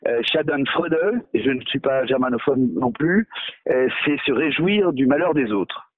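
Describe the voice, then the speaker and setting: A man's voice: mid-range voice, lively intonation, normal speed, no room sound. A male novelist in a radio interview, talking hesitantly with many fillers and restarts, mocking himself. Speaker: novelist guest.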